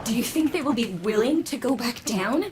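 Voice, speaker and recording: A woman's voice: a little high, brisk, noisy background, lightly acted. A woman playing an earnest, testy daughter from a family of scientists in a video game cutscene. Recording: music behind the voice.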